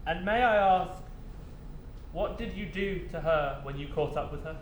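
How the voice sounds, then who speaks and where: An actor playing a polite, careful official asking probing questions in a stage play. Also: male voice, fairly high pitch, unhurried, lightly acted. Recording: echoey stage, clear background noise.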